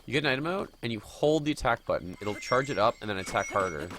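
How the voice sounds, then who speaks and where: A man's voice: medium pitch, lively intonation, fast talker, crowd noise. A man with a cold, sniffling a little, chatting and thinking aloud as he streams a game live. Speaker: gaming streamer.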